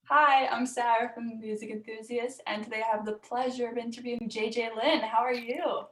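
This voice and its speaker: A woman's voice: a little high, steady tone, a little fast, rough recording. A woman hosting a casual interview, asking her questions in a conversational way. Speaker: interviewer and host.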